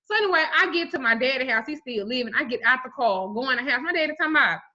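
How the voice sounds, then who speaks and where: A woman in her forties, a spiritual reader, telling stories on a livestream in a blunt, profane, animated way. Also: high voice, very fast. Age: 40s. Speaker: spiritual reader and root worker. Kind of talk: casual livestream talk. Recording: muffled lo-fi stream audio.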